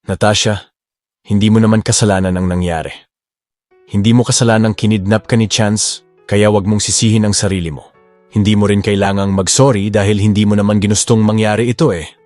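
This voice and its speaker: A man's voice: on the low side, little pitch movement, brisk, a little mellow, no room sound, faint music behind, close to an everyday voice. A man playing a protective, passionate young suitor in a Tagalog romance audio drama. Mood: tender, firm.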